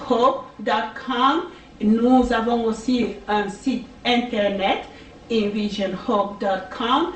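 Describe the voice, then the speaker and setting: A French-speaking woman tutoring for language exams in a casual video, talking slowly with many hesitations and fillers. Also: medium pitch. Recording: echoey room, distant mic, faint noise.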